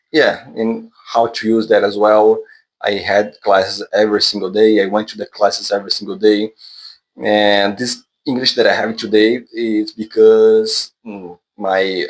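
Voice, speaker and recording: Male vlogger, lowish voice, Brazilian accent, Portuguese native; casual unscripted delivery, feels his way through sentences and corrects himself. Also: rough recording. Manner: hesitant, halting, self-correcting non-fluent English. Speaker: vlogger, English learner. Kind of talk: unscripted casual monologue to viewers.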